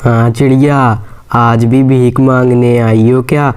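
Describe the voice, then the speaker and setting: A man's voice: medium pitch, flat delivery, slow, a little mellow, clean tone, lightly acted. A man voicing a suspicious, scornful crow who taunts grudgingly in a cartoon story. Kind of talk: Hindi moral-story cartoon dialogue.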